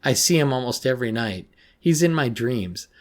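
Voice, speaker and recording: A distraught teenage girl in a scary story, acted out by a man. The voice is lifted well above the reader's low natural register, agitated and emphatic, pleading to be believed. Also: lively intonation, normal speed, breathy edge. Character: frightened, distraught teenage schoolgirl haunted by nightmares.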